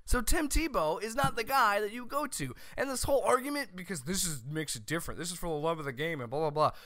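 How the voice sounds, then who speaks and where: An American man in his thirties giving loud, emphatic, opinionated sports commentary as a show host, close on a studio mic. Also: sits high, expressive, fast, no echo. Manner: loud, emphatic, exasperated ranting. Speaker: host of a college football show. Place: small room at home. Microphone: close studio mic on a boom arm.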